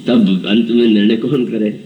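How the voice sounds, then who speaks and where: A man's voice: lowish voice, fairly even intonation, moderate pace, far from the mic, highs cut off, slight background noise. A man in his seventies giving a devotional scripture discourse, telling the story and acting out characters' dialogue. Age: 70s. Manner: storytelling, voices characters' dialogue and chants verses. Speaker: preacher.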